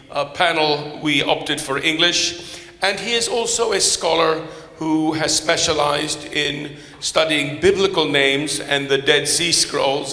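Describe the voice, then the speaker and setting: A man whose first language is Polish, moderating a panel in English, formal and courteous, halting with many fillers and restarts. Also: fairly high pitch, pushed and bright. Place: large conference hall with podium. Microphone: microphone. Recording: echoey hall with long reverb.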